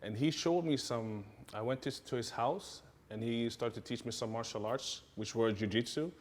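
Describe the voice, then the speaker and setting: A man, a martial arts instructor answering interview questions in a relaxed way, with many fillers and repeated yeahs. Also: medium pitch, moderate pace. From Sweden.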